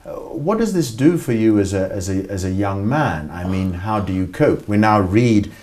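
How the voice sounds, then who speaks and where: Kenyan male host, formal interviewer, measured, dry-humored. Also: on the low side, lively intonation, a little mellow.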